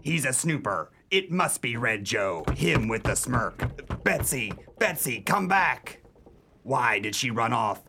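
Gravelly, scheming old pirate. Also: male voice, medium pitch, big pitch swings, slow pace, background noise. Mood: scornful, suspicious. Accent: stage pirate dialect. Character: scheming, conceited old pirate Duke with a wooden stump. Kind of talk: comic radio play acting.

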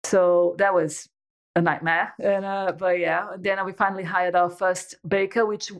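A woman's voice: on the low side, flat delivery, normal speed. A woman, chatting as an interview guest and telling her story with lots of restarts and fillers. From Brazil. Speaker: guest entrepreneur. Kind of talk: casual interview chat.

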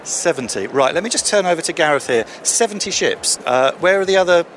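A man reporting and interviewing fans at a convention in London, chatty and brisk, on a handheld mic. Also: fairly high pitch, expressive, fairly bright tone, a little hiss or hum. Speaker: roving reporter. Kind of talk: convention vox pops.

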